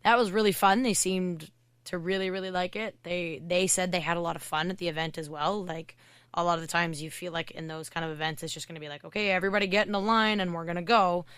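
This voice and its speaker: A woman hockey coach from Canada, a podcast guest, talking in a loud, chatty way with lots of "like" fillers. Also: fairly low pitch, fairly even intonation, clear, resonant tone, no room sound. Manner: chatty, fast storytelling with many "like" fillers. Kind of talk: casual podcast interview.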